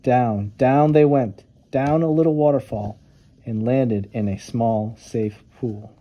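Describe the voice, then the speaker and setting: A man narrating a children's storybook with expressive, dramatic emphasis on key words. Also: mid-range voice, takes it slowly, highs cut off. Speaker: storytime reader.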